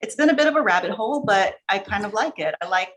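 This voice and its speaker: A woman's voice: mid-range voice, animated, quick pace, a bit bright. A woman chatting informally as a guest writer, explaining things in a rambling way with asides. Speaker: short story writer, podcast guest. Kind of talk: casual chat, explaining. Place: online video call.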